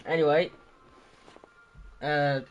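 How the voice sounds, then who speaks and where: A man's voice: fairly high pitch, flat delivery, drawn-out words, highs cut off, soft background music. A man hosting a casual stream with a cold, talking in a rambling, unsure way with many restarts. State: has a cold, a bit congested. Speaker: stream host. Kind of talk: casual chat.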